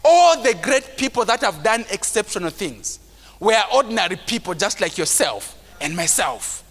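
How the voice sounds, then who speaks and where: A man with a mild stammer giving a preachy motivational talk to a live audience at a conference, acting out timid voices and sometimes shouting to make a point. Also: high voice, big pitch swings, normal speed. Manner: preachy, rising to shouts for emphasis; acts out timid voices of self-doubting people. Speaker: motivational speaker. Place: large conference hall. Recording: long hall reverb.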